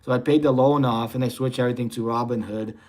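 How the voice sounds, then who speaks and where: A man's voice: mid-range voice, steady tone, fast, darkish tone. A young man from Russia chatting casually on a livestream, answering viewer questions with loose rambling talk full of fillers. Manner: rambling, heavy fillers like "you know" and "type deal". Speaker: livestream host.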